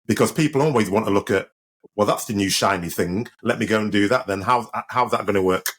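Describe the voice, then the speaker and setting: A man's voice: on the low side, brisk, a bit bright, slightly rough. A man hosting an interview, asking his questions casually with many stammered restarts. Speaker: podcast host.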